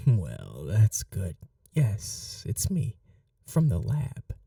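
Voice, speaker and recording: A performer playing a polite, obsessive vampire lab technician in an ASMR roleplay, murmuring coaxingly with softly menacing courtesy and breathy half-whispers. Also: deep voice, huge pitch swings, very slow, no room sound, studio quality, distant mic, full character voice. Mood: polite, eerie.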